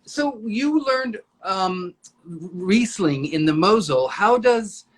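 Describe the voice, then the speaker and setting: Husky-voiced male interviewer. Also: high voice, expressive, slow. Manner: friendly and chatty, asks open questions. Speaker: host, interviewer. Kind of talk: casual interview over video call.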